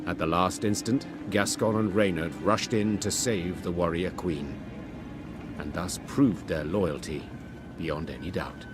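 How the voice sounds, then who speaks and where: A solemn fantasy game storyteller. His voice is low and very unhurried, with an old-fashioned gravity, set over clearly audible music. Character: solemn male storyteller of an epic fantasy tale. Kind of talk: scripted game narration. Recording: prominent music behind the voice.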